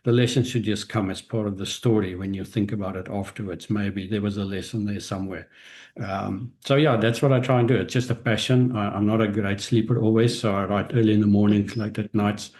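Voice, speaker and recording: Low, flat-voiced South African man. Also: fairly quick. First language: Afrikaans. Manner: reflective, frequent restarts and hesitations. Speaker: guest, author and business strategist. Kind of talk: interview answers.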